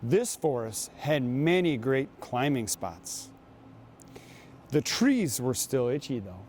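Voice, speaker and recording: A man reading aloud and talking to children as a guest reader, with an unhurried, storytelling manner. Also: mid-range voice, sing-song, a little mellow, a little hiss or hum. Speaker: guest reader, basketball coach.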